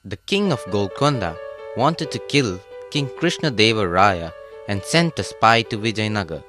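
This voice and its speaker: Man, kids' story narrator, bright tone, lively melody, steady and clear. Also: fairly low pitch. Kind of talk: scripted children's story narration.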